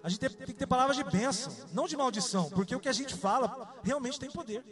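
A man with a high voice preaching in an easygoing, conversational way, often turning to his listeners with a question. He was born in Salvador, Bahia. Faint music plays behind him. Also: quick pace, a bit bright. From Salvador, Bahia, Brazil. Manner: conversational, asks the audience questions. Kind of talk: sermon.

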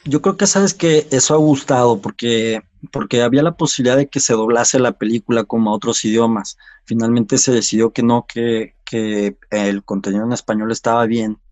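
A Mexican film director talking casually in an interview, halting with many restarts and fillers. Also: male voice, medium pitch, fairly even intonation, darkish tone, dry recording.

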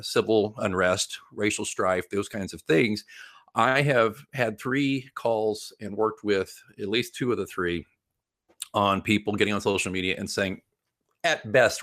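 Male consultant interviewed, low register, dry close sound, emphatic, self-correcting, conversational explainer. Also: fairly bright tone, no echo. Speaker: guest PR crisis consultant. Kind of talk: informal interview explanation with anecdotes.

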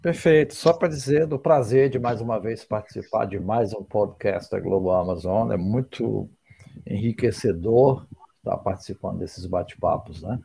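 A man's voice: lowish voice, moderate pace, vocal fry, mellow, slight background noise. A man, an English teacher and podcast guest, chatting in an upbeat way and repeating his phrases. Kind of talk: casual podcast chat.

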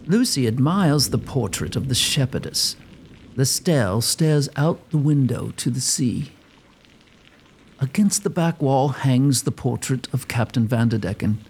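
A man's voice: medium pitch, animated, a little slow, a little mellow, husky. A man giving scripted narration for a gothic comedy audio drama.

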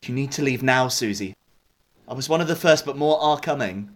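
A man with an English accent playing a conflicted militia soldier in an audio drama, halting, stammering and prone to swearing. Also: mid-range voice, close to an everyday voice. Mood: urgent, subdued. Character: conflicted young male militia soldier, awkward then desperate. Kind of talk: naturalistic audio drama acting.

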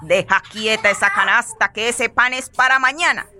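A woman playing a bossy but tender grandmother in a radio play, with a Colombian accent and a scolding, drawn-out way of talking. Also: fairly high pitch, sharp tone, a little hiss or hum. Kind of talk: radio theatre acting.

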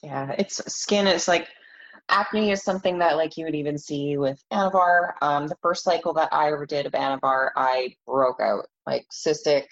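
A woman with a raspy voice interviewing a guest in a relaxed, questioning way. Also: deep voice, normal speed, dull audio. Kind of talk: casual interview.

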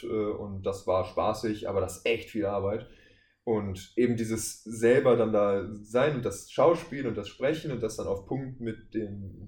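A man's voice: on the low side, darkish tone. A man speaking as a podcast guest and voice actor in a casual interview, rambling along in anecdotes. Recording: roomy echo.

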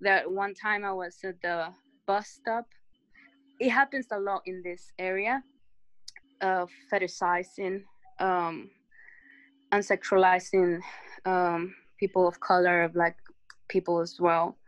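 A woman's voice: lowish voice, steady tone, slow, long pauses, dry recording, rough recording. Woman telling stories casually, close dry sound. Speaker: participant sharing personal experiences in a group discussion. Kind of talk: casual conversational storytelling.

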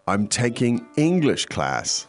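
A man of ordinary pitch hosts a podcast language lesson, his voice rising and falling a great deal. He explains things in a relaxed way, full of small pauses and fillers. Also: faint music behind. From foreign to China. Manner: relaxed, explanatory, many hesitations. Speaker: language teacher host.

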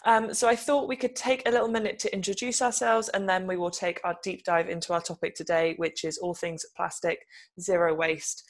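A woman's voice: lowish voice, steady tone, a little fast. A young woman from the UK hosting a podcast, chatty and friendly with lots of ums. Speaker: podcast host.

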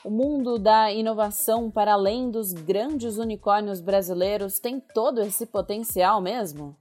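A woman reads a scripted news feature in a mid-range voice. Her delivery is measured, and she turns now and then to talk straight to the listener. Soft music runs faintly under her. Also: medium pitch. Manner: measured, addresses the listener directly. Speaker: radio reporter and narrator.

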